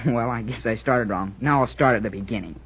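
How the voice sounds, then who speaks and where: An actor playing an eager, joking schoolboy cadet in an old-time radio drama, talking in a chatty, earnest way. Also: male voice, mid-range voice, fairly quick, breathy edge. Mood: eager, confiding. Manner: chatty, boyish, earnest explaining. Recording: muffled vintage radio recording.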